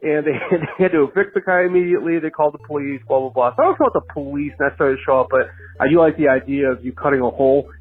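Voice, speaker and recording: A man's voice: fairly high pitch, rapid-fire, faint music behind. A man leaving a rambling, amused voicemail for a call-in show, laughing as he tells his story. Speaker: regular caller. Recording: tinny phone-line voicemail.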